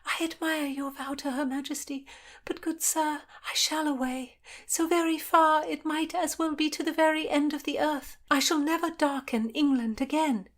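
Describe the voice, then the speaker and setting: A woman's voice: steady tone, normal speed, natural voice. A woman voicing a desperate young woman in disguise who begs a soldier to let her sail into exile. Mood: pleading. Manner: high, beseeching, often whispered.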